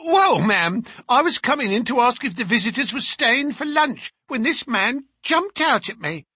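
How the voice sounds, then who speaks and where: A man's voice: very high voice, big pitch swings, normal speed, sharp tone, husky, no room sound, studio quality, pitched above the performer's own voice, exaggerated voice. A man voicing a sulky, coarse maidservant in an audiobook, with rustic speech and a screechy, wheezy edge. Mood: flustered. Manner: rustic uneducated speech, indignant.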